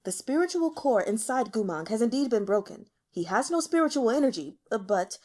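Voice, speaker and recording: A woman acts out a fearful elder healer at an imperial court in a fantasy audiobook. The speech is formal, anxious and hesitant, high-pitched with animated swings. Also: fairly quick. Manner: nervous, hesitant, stammering, formal.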